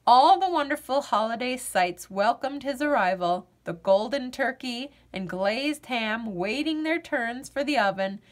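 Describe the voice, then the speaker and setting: A woman reading a children's picture book aloud in an expressive storytelling manner. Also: on the high side, measured pace, few pauses.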